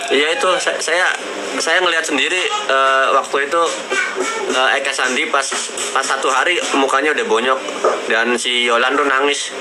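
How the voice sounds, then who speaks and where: A man's voice: mid-range voice, steady tone, over music. A man chatting casually on a livestream, often repeating words as he recounts personal memories. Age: 20s. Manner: halting, repeats words and phrases. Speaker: livestream host. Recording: noisy live stream with clear background noise.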